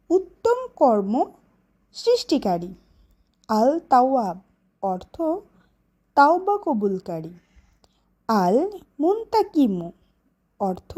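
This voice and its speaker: Female cartoon schoolteacher, acted by a woman: high, lively intonation, deliberate classroom delivery. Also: full character voice. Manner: deliberate, clearly instructive delivery. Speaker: teacher. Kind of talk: educational cartoon lesson, recitation and questioning.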